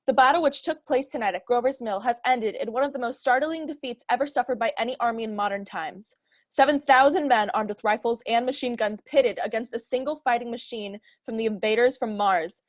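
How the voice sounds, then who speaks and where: A woman's voice: a little high, brisk, natural voice. A young woman acting a solemn news announcer formally reporting a catastrophe in a radio drama. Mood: grave. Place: plain indoor room.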